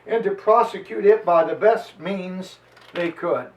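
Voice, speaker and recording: Man, fairly high voice, theatrical history reading and lecture, deliberate emphasis, reverberant room. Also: muffled recording. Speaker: preacher giving a historical reading. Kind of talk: dramatic reading and lecture to a live gathering. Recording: echoey room, distant microphone.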